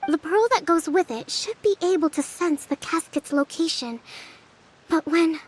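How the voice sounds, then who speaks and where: Thoughtful young general girl, anime game, really high pitched, measured and courteous. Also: expressive, poor audio. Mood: explaining. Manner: measured, polite, explanatory. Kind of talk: anime-style game voice acting.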